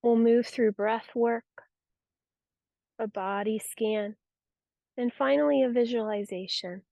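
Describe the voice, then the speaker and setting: A woman guiding a yoga nidra relaxation practice with soothing, unhurried, gentle instruction. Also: a little high, steady tone, vocal fry, no echo. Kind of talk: guided relaxation meditation.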